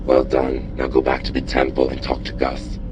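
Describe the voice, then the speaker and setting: A man playing a grave, commanding robot mission controller in a video game, terse and deadpan as he gives briefings. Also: rumbling low, moderate pace, lo-fi sound, over music. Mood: instructive.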